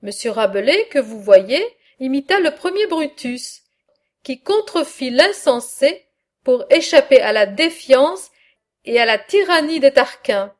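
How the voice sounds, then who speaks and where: A woman's voice: high-pitched, sing-song, unhurried. A woman reading a sober reserved scholar. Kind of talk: reading a philosophical dialogue aloud.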